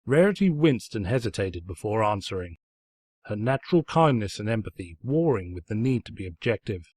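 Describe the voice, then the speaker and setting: A man's voice: lowish voice, sing-song, fairly quick, a little mellow, husky, no echo, clean studio sound. A man reading fan fiction aloud as scripted story narration, in a pompous, snide storyteller's manner.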